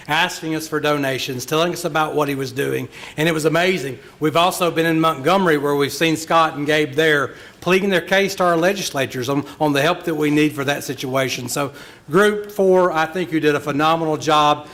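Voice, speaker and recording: A man's voice: fairly high pitch, fairly bright tone. A man, emceeing a youth leadership graduation and praising a group in a speech to the audience.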